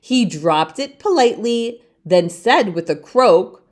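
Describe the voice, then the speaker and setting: A woman reads a children's story aloud in a theatrical way. She takes it very slowly, phrase by phrase, with wide swings in pitch. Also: lively intonation. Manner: theatrical, deliberate phrasing for young listeners. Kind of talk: expressive children's read-aloud narration.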